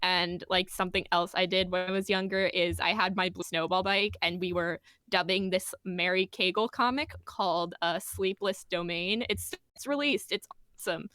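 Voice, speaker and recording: Young woman, bright clear voice, dry close sound, chatty with ums. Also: on the low side, steady tone, moderate pace, few pauses, no echo. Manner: chatty, hesitant fillers. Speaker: hobby voice actor panelist. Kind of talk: casual panel chat.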